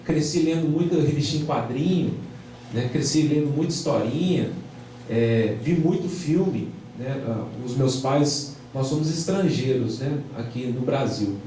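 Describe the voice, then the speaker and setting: A man's voice: mid-range voice, a little slow, mellow, a little hiss or hum. A man from Argentina giving an informal sermon to a youth conference, chatty, often tagging his sentences with a questioning "right?". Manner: conversational, tags phrases with "né?". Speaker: preacher. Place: church hall. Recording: very echoey hall, far from the mic.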